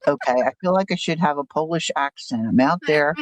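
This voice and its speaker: Chatty older woman, low-pitched. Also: expressive, a little fast, dry recording. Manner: chatty, rambling storyteller. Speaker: livestream host. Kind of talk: casual chat.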